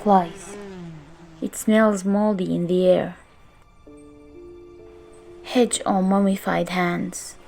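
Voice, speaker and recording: A woman narrating a fantasy story in an earnest, soft-spoken way, as the channel's creator. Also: on the low side, little pitch movement, extremely slow, vocal fry, long pauses, faint music behind.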